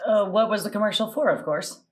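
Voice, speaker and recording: A woman's voice: medium pitch, fairly quick. A woman panelist asking questions and bantering casually.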